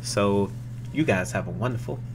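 A young man streamer reacting casually to a game trailer, excitable and slangy, repeating himself, on a desk mic at home. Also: lowish voice, normal speed, background noise. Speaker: gaming streamer.

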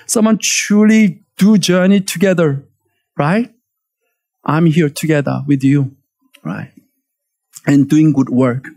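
A man in his forties with a Korean accent, preaching a lively sermon from a church stage and calling for amens. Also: a little high, slow, long pauses. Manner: animated, emphatic preaching with call-and-response. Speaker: pastor.

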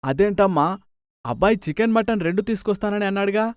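A man voicing a gentle, affectionate older father-in-law in a family moral story. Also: high voice, quick pace, clean tone, dry recording, studio quality, pitched above the performer's own voice, lightly acted. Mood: mild surprise. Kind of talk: moral-story cartoon dialogue.

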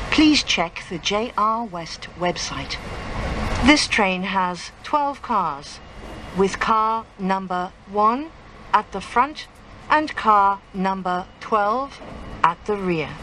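A woman reading a scripted train announcement formally, in measured and carefully enunciated phrases. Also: fairly bright tone. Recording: clear train rumble over announcement. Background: train running noise.

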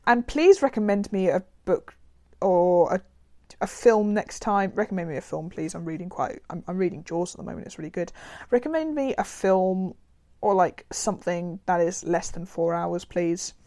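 A woman giving a casual spoken review, her voice slightly raspy from a cold, rambling with many fillers and restarts. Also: fairly low pitch, moderate pace, warm tone. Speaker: video reviewer.

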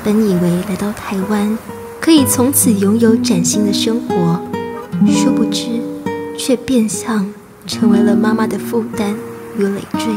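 A woman's voice: mid-range voice, measured pace, close to an everyday voice. A woman acting an earnest, wistful teenage Vietnamese immigrant schoolgirl in a radio drama, both in dialogue and narration. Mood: wistful, sorrowful. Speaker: voice actor and narrator. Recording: music behind the voice.

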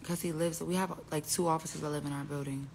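Young woman, low voice, gravelly fry, rambling live-stream talk, faint room noise. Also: fairly even intonation, fast, a little mellow. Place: indoors at home, phone selfie. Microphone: phone held close.

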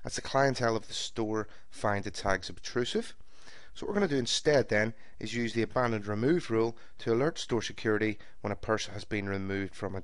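A man giving a screen-recorded software tutorial, explaining each step in a steady, methodical way. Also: on the low side, no room sound, clean studio sound. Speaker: software trainer. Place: voice-over recorded for a screen capture.

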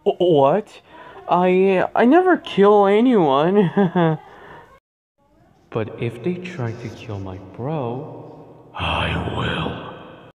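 Someone doing a lazy cartoon fox big bro, playful n theatrical, super slow drawl, pitch goes all over. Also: big pitch swings, darkish tone, lo-fi sound. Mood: indignant. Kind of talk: character voice lines, acted.

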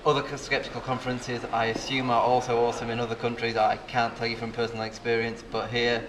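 A man's voice: medium pitch, completely flat delivery, fast talker, crowd noise. A man from the UK who runs a local skeptics meetup, speaking fluently and enthusiastically at a conference. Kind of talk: casual conference testimonial. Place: large hotel hall at a conference. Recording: noisy hall background.

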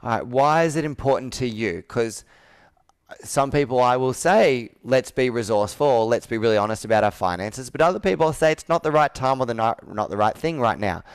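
A man's voice: mid-range voice, brisk, clean tone. A man in his thirties coaching a sales roleplay on a video call, asking probing questions and repeating answers back. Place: indoor room with whiteboard, on a video call.

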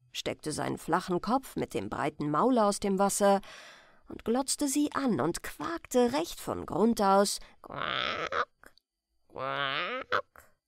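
Slow, animated woman reading fairy tales. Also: mid-range voice, no room sound, studio quality. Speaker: storyteller. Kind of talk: bedtime fairy-tale reading, scripted narration.

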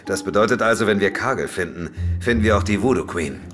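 A man's voice: lowish voice, fast talker, lightly acted. An actor playing a grim, urgent male investigator who also narrates a German audio drama. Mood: urgent, determined. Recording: music bed behind the voice.

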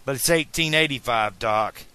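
A man voicing an earnest, excitable teenage boy in an audiobook, talking in an emphatic, colloquial, pleading way. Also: medium pitch, normal speed, clean tone, no room sound, natural voice. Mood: careful, persuasive. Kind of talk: audiobook character dialogue.

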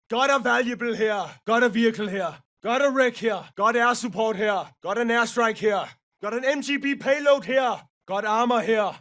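An actor playing a gruff, battle-hungry special forces mercenary in a military game, giving clipped, forceful combat callouts in a gravelly voice. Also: male voice, sits high, far from the mic. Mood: urgent, shouting. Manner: clipped, forceful, barked short phrases.

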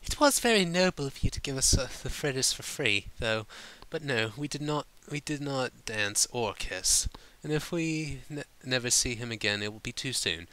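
A man's voice: medium pitch, lively intonation, moderate pace, few pauses, bright, forceful tone, voice pushed up, low-quality recording. A man voicing a refined, tactful female pony in a storybook, speaking in a polite, proper, slightly flustered way. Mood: prim, indignant. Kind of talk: fan fiction audiobook character voice.

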